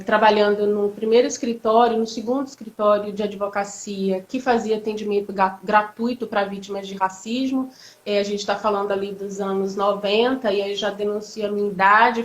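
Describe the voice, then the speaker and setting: A woman, a law professor talking reflectively with many fillers and restarts in a live online interview. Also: on the high side. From Bahia, Brazil. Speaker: law professor, guest speaker. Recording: echoey, dull lo-fi audio.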